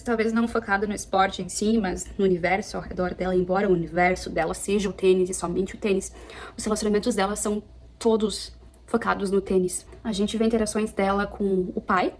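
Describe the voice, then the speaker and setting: A young woman from Brazil, chatting casually at home as she reviews a book, animated and often correcting herself. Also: mid-range voice, slight background noise. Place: apartment at home.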